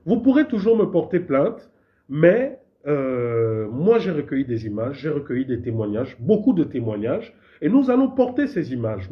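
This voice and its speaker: A man from Gabon, fighting a cold, speaks out as a civil society activist in an indignant, emphatic voice that swings widely in pitch and sits fairly high. He keeps stumbling and repeating words, then pushes on. The recording is dull and lo-fi. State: has a cold. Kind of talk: impassioned public statement.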